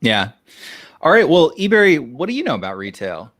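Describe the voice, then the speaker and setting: A man co-hosting a casual conversation, speaking informally in a halting, self-deprecating way. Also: fairly high pitch, huge pitch swings, fairly bright tone. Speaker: co-host. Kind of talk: casual chat.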